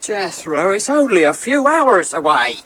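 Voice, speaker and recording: An actor playing a wry, nagging young village darts captain in a radio soap. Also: male voice, high-pitched, sing-song, a little slow, fairly bright tone, husky, heavily acted. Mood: impatient. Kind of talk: 1980s radio soap acting. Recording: hissy 1980s radio broadcast recording.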